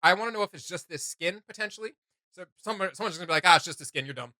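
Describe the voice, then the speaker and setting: Male reaction host, slightly high and very bright voice, enthusiastic, rambling casual delivery. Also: animated, very fast, no echo, studio quality. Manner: enthusiastic, rambling, thinking aloud.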